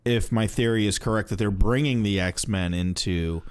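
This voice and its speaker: A man's voice: lowish voice, moderate pace, clear, resonant tone, dry recording. A man co-hosting a podcast, giving his opinions in casual conversation. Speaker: podcast co-host.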